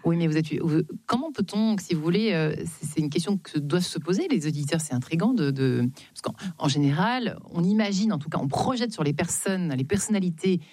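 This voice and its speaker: A woman's voice: fairly low pitch, animated, brisk, hardly any pauses, darkish tone, dry recording. A woman radio host interviewing conversationally in a studio, politely formal, feeling her way through her questions and rephrasing them.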